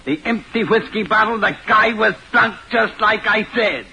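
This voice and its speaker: A faintly noisy old radio recording of a blustery American inspector. His voice is highish and very sharp, folksy and grumbling, in vintage adventure style. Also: moderate pace, creaky, exaggerated voice. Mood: cocksure. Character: blustery, easily exasperated old male police inspector.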